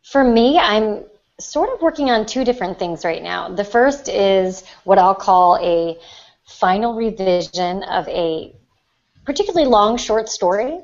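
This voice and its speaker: A woman's voice: medium pitch, expressive, measured pace, a little mellow. A woman from the Ozarks, a writer, talking thoughtfully and conversationally as a podcast guest. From Ozarks, United States. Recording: dull lo-fi online call audio.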